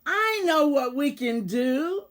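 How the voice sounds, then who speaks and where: Casting: bright, mischievous young mouse in a storybook, read by a woman; high-pitched and eager. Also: animated, takes it slowly, slight background noise. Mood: bright, scheming. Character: playful, mischievous little mice, eager pranksters.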